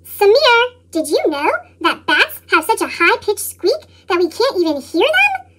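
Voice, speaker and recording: A woman voicing an eager, chattering little girl from a storybook, through a pitched-up chipmunk effect. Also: very animated, measured pace, sharp tone, a little hiss or hum, full character voice. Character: excitable little girl who loves bat facts.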